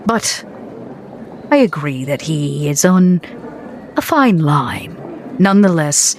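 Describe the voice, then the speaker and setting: A woman's voice: low voice, very animated, slow, a little mellow, soft background music, exaggerated voice. A woman playing a cold, regal, century-old vampire with a clipped, formal and imperious manner in a tabletop game. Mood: cool, controlled.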